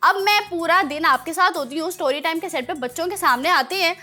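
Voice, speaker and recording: A woman hosting a children's show, chatting in an animated way with playful scolding for her young audience. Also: very high-pitched, fairly quick, faint music behind.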